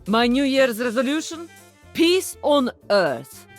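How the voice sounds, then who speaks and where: Tough proud russian soldier lady from a game, animated delivery, bit of music. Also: high voice, slow, full character voice.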